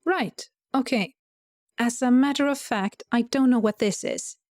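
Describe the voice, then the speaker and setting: A woman's voice: fairly high pitch, a little slow, a little mellow, no room sound, studio quality, voice pushed up, lightly acted. A woman voicing a meek, apologetic female angel magician in an audio drama. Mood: hesitant. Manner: pleading, hesitant. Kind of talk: audio drama character acting.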